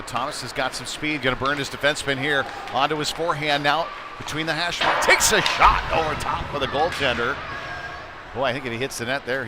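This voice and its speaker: Man calling hockey over loud rink noise. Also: medium pitch. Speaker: play-by-play sports commentator. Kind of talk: live youth ice hockey play-by-play commentary. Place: indoor ice rink, live stream. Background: loud arena ambience, puck and board slams.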